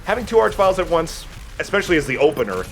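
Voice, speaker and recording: A man's voice: a little high, big pitch swings, very fast, creaky, fairly bright tone. A man giving casual commentary while he plays a video game, speaking as the host of a let's play. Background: game music.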